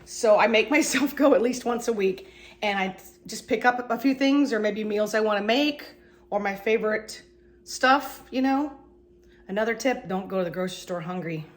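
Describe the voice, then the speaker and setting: A woman's voice: medium pitch, normal speed. A middle-aged woman with a stuffy cold, rambling casually and poking fun at herself in a home kitchen vlog. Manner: chatty, rambling, self-deprecating. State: congested with a cold. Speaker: vlogger. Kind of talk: casual chatty cleaning vlog.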